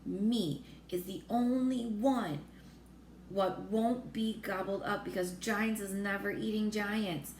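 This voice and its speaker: A woman voicing a calm, friendly male giant from a children's storybook, speaking in folksy, garbled grammar. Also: mid-range voice, takes it slowly, close to an everyday voice. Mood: warning, proud. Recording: roomy echo with faint noise.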